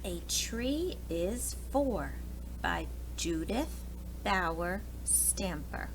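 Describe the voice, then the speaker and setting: A woman's voice: lowish voice, expressive, extremely slow. An American woman, a preschool teacher, reads a picture book aloud expressively, addressing young children with questions and asides. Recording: clear background noise.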